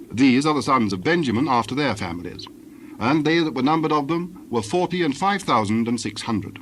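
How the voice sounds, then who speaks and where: A man serves as narrator for a dramatized Bible. His mid-pitched voice recites in a formal, measured, scripted way. Clear ambient noise sits behind the narration. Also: fairly bright tone.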